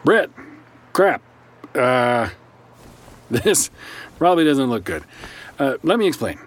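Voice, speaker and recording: A man's voice: mid-range voice, big pitch swings, slow. A man playing a wry, chatty therapist in an audio drama, talking in a hesitant, rambling, sardonic way. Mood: flustered exasperation. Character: wry, chatty, flustered male therapist who brawls apologetically. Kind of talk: naturalistic audio drama acting.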